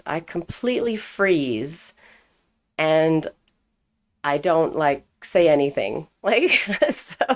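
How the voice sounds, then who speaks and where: Woman, young adult, from Europe, low voice, chatty storytelling, smooth clear tone. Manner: chatty, frequent "like", laughs while telling. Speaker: chef and actor telling her story. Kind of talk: casual personal storytelling.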